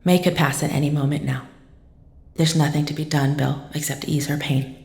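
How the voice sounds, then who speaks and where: An actress playing a grave, loyal friend in an audio drama, a woman with a low, gravelly voice and formal, firm phrasing. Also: little pitch movement, moderate pace, a little mellow. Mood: grave, urgent. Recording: echoey, roomy sound.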